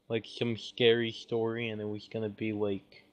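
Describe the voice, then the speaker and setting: A man chatting casually in a halting, filler-heavy way. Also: lowish voice, monotone. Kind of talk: casual chat. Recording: noisy voice chat with clicks.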